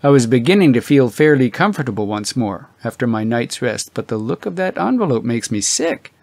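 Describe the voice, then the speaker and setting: A man's voice: medium pitch, animated, brisk, darkish tone, no echo. Man as older husband, audiobook character, anxious, weary, fretful, exclaiming, dry recording. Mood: uneasy. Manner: agitated, exclaiming, muttering.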